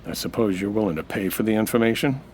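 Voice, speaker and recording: An actor playing a suspicious, hard-boiled American nightclub owner who narrates in a wry, terse radio noir style. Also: male voice, lowish voice, fast, darkish tone, slight background noise, close to an everyday voice. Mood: sceptical. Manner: wry, terse, tough-talking. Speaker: actor playing lead detective-style hero. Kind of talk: old-time radio noir monologue and dialogue.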